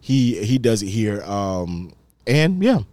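A man's voice: on the low side, sing-song, slow pace, a little mellow, no room sound, clean studio sound. A man in his thirties, an acting coach, chatting casually and joking on a podcast. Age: 30s. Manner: laid-back, joking, says bro a lot.

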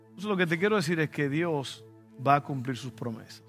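Dominican pastor preaching with bright voice. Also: male voice, fairly high pitch, animated, brisk, soft background music. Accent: Dominican Spanish. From Dominican Republic. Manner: conversational preaching with personal stories, rising to emphatic bursts. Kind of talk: sermon.

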